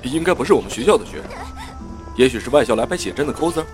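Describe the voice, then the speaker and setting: A man's voice: medium pitch, very animated, racing, natural voice. A man playing a casual, unimpressed young student in an audio drama. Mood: indifferent. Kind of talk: Chinese audio drama acting. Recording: music under voice.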